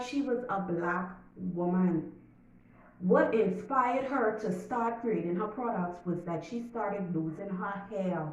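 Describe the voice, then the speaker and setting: A young woman with a Bahamian accent talks to her viewers in a chatty, emphatic way, stretching out her asides. Her voice sits at an ordinary middle pitch. It sounds strongly echoey and slightly dull, recorded from a distance. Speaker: YouTuber. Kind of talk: casual vlog talk, partly reading notes.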